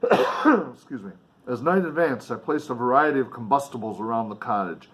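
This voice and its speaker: A man's voice: mid-range voice, fairly bright tone. A man reading a novel aloud in a dramatic, emphatic way, with casual offhand commentary along the way. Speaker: reader and commentator.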